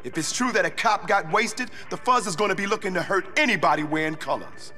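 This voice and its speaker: A man's voice: high voice, animated, fairly quick, sharp tone. A man playing a determined street gang warlord in a video game, commanding, streetwise and urgent. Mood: businesslike. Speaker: gang leader. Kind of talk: gritty video game cutscene acting. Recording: game dialogue over music.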